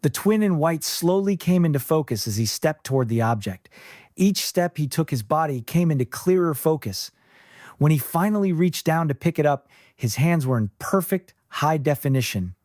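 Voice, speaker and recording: Man narrating fiction with lively pitch. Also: moderate pace, no echo, clean studio sound. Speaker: audiobook narrator.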